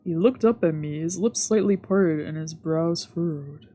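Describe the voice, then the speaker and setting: Low, mellow woman reading aloud. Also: normal speed. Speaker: streamer reading a visual novel aloud. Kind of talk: narration read aloud, let's play. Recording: soft game music behind.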